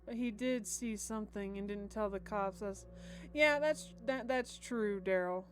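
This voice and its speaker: A woman's voice: mid-range voice, unhurried, soft background music. An American woman streaming a game, chatting casually with stammered, repeated words. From United States. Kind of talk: casual livestream commentary and reading aloud.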